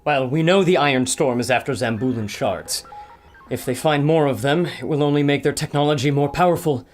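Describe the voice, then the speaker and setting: A man's voice: medium pitch, a little fast, faint music behind, close to an everyday voice. A man playing an earnest, polite scholar and doctor. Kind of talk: radio play acting.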